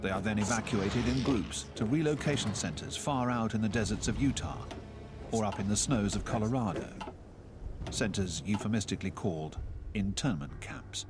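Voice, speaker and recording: A man narrating a historical documentary in a measured, solemn scripted delivery, recorded as a studio voice-over. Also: lowish voice. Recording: narration under loud music score.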